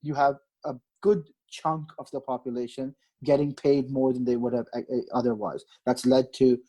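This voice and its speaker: A man's voice: mid-range voice, fairly even intonation, normal speed, dark tone, rough recording. A man in his late thirties from Pakistan, a market strategist answering interview questions in an explanatory way, with frequent restarts and fillers.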